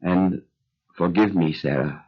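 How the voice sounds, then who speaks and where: Humble solemn patriarch, really deep voice, old dull recording. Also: male voice, slow, breathy edge, exaggerated voice. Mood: remorseful. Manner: earnest, solemn. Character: humble, remorseful male patriarch. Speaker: Abram.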